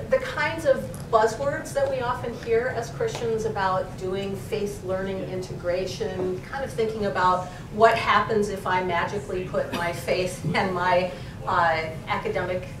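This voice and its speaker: Woman, english professor, conversational lecture, self-correcting, far from the mic, echoey room, background noise and rumble. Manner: informal, thinking aloud with frequent self-corrections. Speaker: English professor co-presenting a library talk. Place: room in a library. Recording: roomy, distant and noisy, with rumble.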